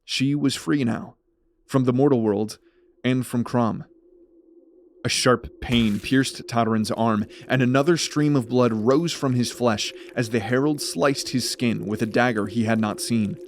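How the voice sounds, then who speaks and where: Male fantasy narrator, mid-pitched. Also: a little slow, a little hiss or hum. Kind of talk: scripted dramatic story narration.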